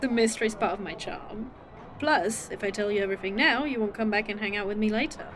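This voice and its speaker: A woman's voice: mid-range voice, a bit bright, soft background music, lightly acted. An actress playing a snarky, teasing woman time traveler with a fake arm in an indie audio drama. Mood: playful. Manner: dry, teasing, quick-witted.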